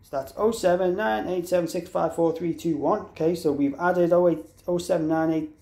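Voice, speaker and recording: A man's voice: high voice, steady tone, moderate pace, gravelly, mellow. A man presenting an informal, unscripted product tutorial, explaining step by step and spelling out letters and digits. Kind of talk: instructional walkthrough, informal and unscripted. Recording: echoey, roomy sound.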